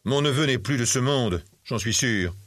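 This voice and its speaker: Man as blunt old man, stern uncle, grave, admonishing, husky, emphatic swings, storybook character. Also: medium pitch, lively intonation, normal speed, a bit bright, no room sound, studio quality.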